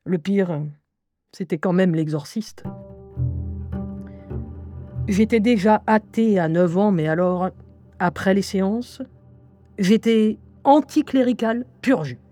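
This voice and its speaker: Casting: actress as ironic young diarist who hears voices, radio monologue, unhurried delivery, soft mellow tone, music underneath. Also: female voice, medium pitch, natural voice. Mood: wry reminiscing. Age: young adult. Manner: ironic, confiding, conversational asides. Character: wry young woman reading her diary, who hears voices. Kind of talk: radio drama first-person monologue.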